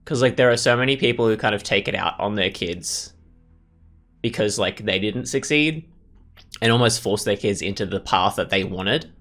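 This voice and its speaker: Low-voiced man musing over a game. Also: moderate pace, fairly bright tone. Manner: musing, tentative, thinking aloud in fragments. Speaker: gaming host. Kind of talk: casual let's play commentary. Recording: music playing behind commentary. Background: music.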